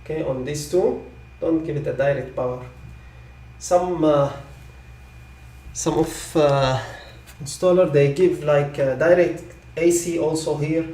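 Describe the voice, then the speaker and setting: A man who is a non-native English speaker giving live technical training, repeating his points reassuringly and often checking with a quick okay. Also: on the high side, warm tone. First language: not English. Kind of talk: live online product training. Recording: echoey room, distant microphone.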